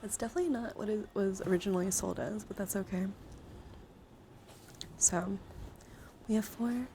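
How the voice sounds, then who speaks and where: A woman streams ASMR in a gentle mix of whispers and soft talk. She is chatty and encouraging, with an ordinary mid-range pitch. There is noticeable background noise in the recording. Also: normal speed. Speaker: livestream host. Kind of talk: whispered ASMR, showing and reading out trading cards. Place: indoor livestream, hands-on camera.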